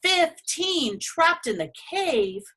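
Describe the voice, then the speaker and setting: A woman voicing a worried, earnest young male baby dragon in a children's storybook, with anxious, drawn-out exclamations. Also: very high-pitched, very animated, a bit bright, pitched above the performer's own voice, exaggerated voice. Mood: horrified, anxious.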